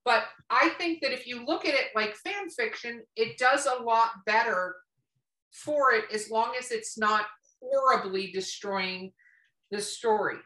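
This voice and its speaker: A woman's voice: fairly high pitch, measured pace, sharp tone. A woman co-hosting a podcast in casual chat, speaking bluntly and emphatically and swearing freely. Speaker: podcast co-host. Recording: roomy echo, distant from mic.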